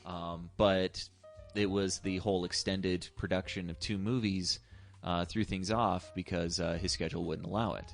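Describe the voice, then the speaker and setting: A man's voice: sits low, steady tone, normal speed, gravelly, hardly any pauses, faint music behind. A man talking casually about film as a co-host. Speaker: podcast co-host discussing film.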